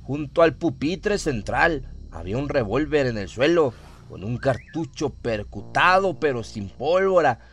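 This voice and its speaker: Man narrating horror, with music behind. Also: mid-range voice. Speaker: narrator of a horror audio story. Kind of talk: dramatic scripted horror narration.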